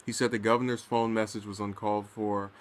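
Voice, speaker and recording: A man's voice: lowish voice, flat delivery, brisk. A man casually reading and commenting on news, stumbling over his words now and then.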